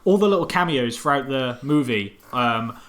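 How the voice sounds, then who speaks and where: A male podcast co-host talks casually in a medium-pitched voice. He is agreeable and halting, restarting and doubling words as he works through a point. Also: expressive, a bit bright. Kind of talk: casual film discussion.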